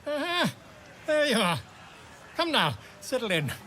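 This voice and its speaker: A man's voice: very high voice, wildly swooping pitch, measured pace, pushed and bright, a little hiss or hum, full character voice. A man voicing a pompous, theatrical author full of self-importance in a fantasy video game. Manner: pompous, florid, declaiming. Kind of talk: video game dramatic acting.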